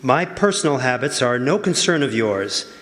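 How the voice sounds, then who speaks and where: A man's voice: mid-range voice, sing-song, normal speed, fairly bright tone. Man playing a huffy officious examiner, echoing hall. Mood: irritated. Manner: curt, huffy, stammering when rattled. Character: irritable, nervous, officious male personnel examiner. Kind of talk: stage radio drama acting. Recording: live hall reverb.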